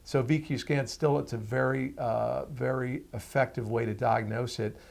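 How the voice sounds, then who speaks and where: A middle-aged or older man speaking as a physician guest in an interview, explaining things in a measured, plain-spoken way. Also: mid-range voice, steady tone, gravelly, darkish tone.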